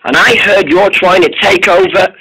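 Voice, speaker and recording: A wary little steam engine from a storybook, speaking harshly in a bright, breathy voice close to the reader's own, as a man reads him. His tone is distrustful. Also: high voice, steady tone, normal speed, voice pushed up, exaggerated voice, rough recording.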